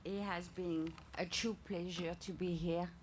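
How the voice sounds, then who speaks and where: A woman with a strong French accent gives a careful, deliberate speech into a podium microphone. Her voice sits a touch low. A faint hiss of background noise runs under her words. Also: flat delivery. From France. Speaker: company founder. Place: outdoor event.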